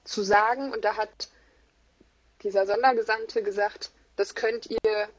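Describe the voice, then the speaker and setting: A German woman in a casual conversation, talking in an animated but hesitant way with many fillers. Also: medium pitch, a little slow. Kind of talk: conversational chat. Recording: dull, lo-fi sound.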